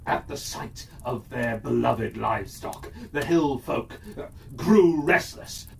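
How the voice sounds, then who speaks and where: Guy reading a kids fairytale, really slow n lively pitch, bit far from the mic. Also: creaky. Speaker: storyteller, narrator. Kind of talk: scripted children's fairytale narration. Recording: distant mic with faint background noise.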